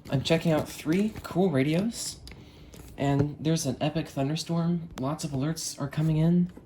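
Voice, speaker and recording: A man's voice: a little high, unhurried, warm tone. A man giving casual, rambling commentary to camera as an enthusiastic radio hobbyist, chatting with friends. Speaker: video creator reviewing weather radios. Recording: noisy amateur recording with storm ambience.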